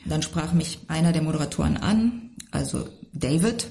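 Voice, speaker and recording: Low-voiced reflective woman from Berlin. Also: animated, moderate pace, vocal fry, mellow. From Berlin, Germany. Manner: reflective, rambling, adds tag questions. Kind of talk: casual podcast conversation.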